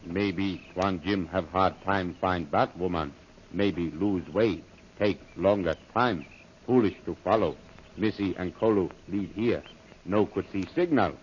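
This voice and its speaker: An actor playing a stolid, loyal native guide and bodyguard who speaks terse broken English, in 1930s radio style. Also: male voice, low-pitched, measured pace. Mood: patient, stubborn. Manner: broken pidgin English, terse clipped phrases. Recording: dull lo-fi old radio, faint noise.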